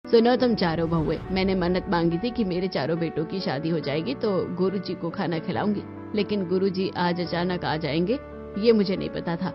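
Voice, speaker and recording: A woman's voice: on the low side, a little fast, close to an everyday voice. A woman playing a strict, anxious middle-aged mother-in-law in a cartoon, with a scolding, fretful manner. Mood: anxious, instructing. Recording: dull lo-fi sound with music behind.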